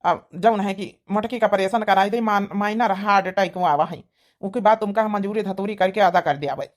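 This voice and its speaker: A woman's voice: medium pitch, fairly quick, lightly acted. A woman with an Awadhi accent playing a humble village labourer and devoted husband in a cartoon comedy, earnest and pleading.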